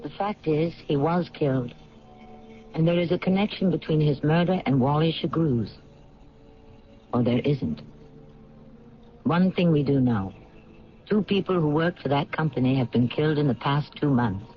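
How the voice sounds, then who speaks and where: A man's voice: a little high, animated, normal speed, pauses often. An American man hosting a radio mystery with scripted, pointed and dramatic narration. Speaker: host. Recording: dull vintage radio broadcast.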